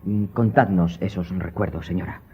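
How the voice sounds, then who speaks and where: An actor playing a courteous, curious young French viscount in a radio drama, speaking politely and formally. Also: male voice, low voice, normal speed, slight background noise, close to an everyday voice. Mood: gently curious. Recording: slightly muffled, faint music behind.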